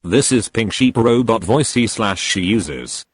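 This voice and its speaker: Synthetic man's voice, low-pitched, robotic, stiff phrasing, scripted how-to narration. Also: expressive, unhurried, slightly rough, dry recording. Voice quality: synthetic, robotic text-to-speech. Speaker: tutorial video host.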